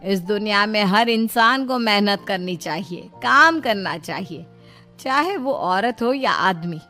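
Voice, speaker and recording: A woman voicing a middle-aged mother-in-law in a moral story cartoon, deliberate and admonishing, caring but scheming. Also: mid-range voice, lively intonation, bright, forceful tone, soft background music, heavily acted. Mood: firm, moralizing.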